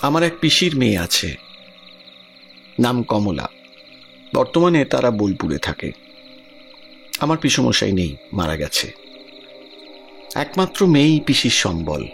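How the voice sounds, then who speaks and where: An actor playing a worried village man in an audio drama, earnest and expressive as he talks. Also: mid-range voice, a little slow, gravelly, pauses often, soft background music, heavily acted. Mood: troubled, earnest. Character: worried village man recounting his cousin's frightening affliction.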